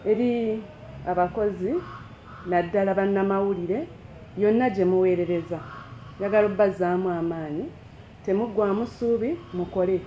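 A woman's voice: mid-range voice, moderate pace. A middle-aged woman giving formal, encouraging thanks in an office. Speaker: broadcaster staff head. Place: office room. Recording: roomy, dull, faint noise.

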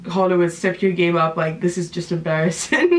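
A woman's voice: lowish voice, big pitch swings, normal speed, slight background noise. A young woman from Russia with a Russian accent, reacting on camera in a small room with casual, sarcastic commentary. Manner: exasperated, sarcastic, laughs often. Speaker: vlogger. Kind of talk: reaction video chat.

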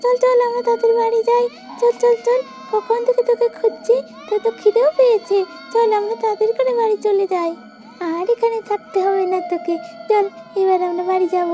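A woman's voice: very high-pitched, fairly even intonation, fairly quick, warm tone, soft background music, voice pushed up. A woman playing a tearful madwoman calling for her lost girl. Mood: tearful urging. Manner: coaxing, pleading, tearful. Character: grieving madwoman who thinks a stranger's girl is her lost daughter.

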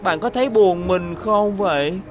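A woman's voice: lowish voice, measured pace, lightly acted. Woman doing a happy little boy bus, eager, friendly, cartoon music blasting behind. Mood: cheerful. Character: cheerful young male blue bus. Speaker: voice actor in a dub.

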